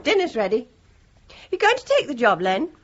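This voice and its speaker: A woman's voice: on the high side, wildly swooping pitch, normal speed. An actress playing a suspicious, teasing British working man's wife in a radio play. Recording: dull, muffled radio play recording.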